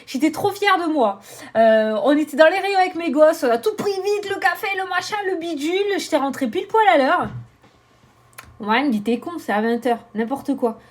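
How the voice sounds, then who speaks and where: A woman's voice: high voice, moderate pace. A woman, chatting animatedly to camera as a tarot reader, swearing and joking about herself. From southern France. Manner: animated, swearing, laughing, self-mocking. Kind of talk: casual chatty vlog.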